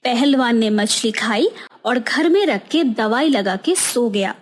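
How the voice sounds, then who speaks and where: A woman narrates a scripted moral story for a cartoon. Her delivery is slow and measured, and her voice sits a little on the high side. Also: animated.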